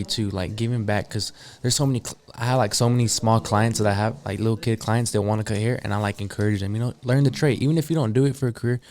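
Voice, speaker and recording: A man's voice: lowish voice, darkish tone. A man in his early twenties, a barber, talking in a casual group chat and rambling with lots of "like" and "you know.". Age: 20s.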